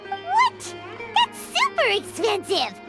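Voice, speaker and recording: A woman voicing a tiny, excitable female companion with a very high, squeaky voice in a video game. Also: vocal fry, exaggerated voice. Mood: shocked. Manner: excitable, quick exclamations. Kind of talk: game voice acting. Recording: game audio with loud music.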